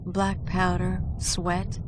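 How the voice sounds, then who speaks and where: An actress playing a tough, determined young railroad company leader in a video game, blunt, wry and resolute. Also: female voice, lowish voice, monotone, very slow, heavy background noise. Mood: reflective, wry. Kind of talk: dramatic video game acting. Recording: music behind the voice. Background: music.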